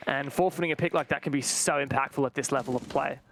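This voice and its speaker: A man commentating an esports match live, play by play, in an excitable manner. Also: mid-range voice, fast talker, gravelly, a little hiss or hum. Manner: excitable, exclaiming at big plays.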